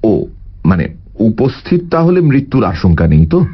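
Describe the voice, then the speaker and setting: Polite private detective, measured questions, low pitch, lively melody, audio story. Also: male voice, breathy edge, lo-fi sound. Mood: calm, inquiring. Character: polite, probing male private detective, calmly persistent.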